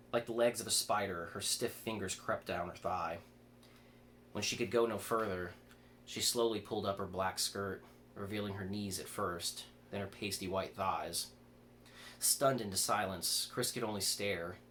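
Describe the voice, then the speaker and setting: Male horror reader, lower-pitched, some stumbles over words, faint noise behind the voice. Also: fairly bright tone. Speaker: author reading own fiction aloud. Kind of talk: horror story reading. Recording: clear background noise.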